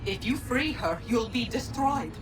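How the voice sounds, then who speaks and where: A woman's voice: on the high side, lively intonation, unhurried, noisy background. A woman playing a stern, noble ancient goddess in a video game cutscene, grave, formal and earnest. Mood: urgent warning.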